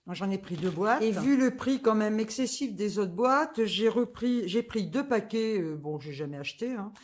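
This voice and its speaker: A woman's voice: medium pitch, animated, normal speed. A woman, chatting casually through a grocery haul, full of fillers and asides. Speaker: vlogger.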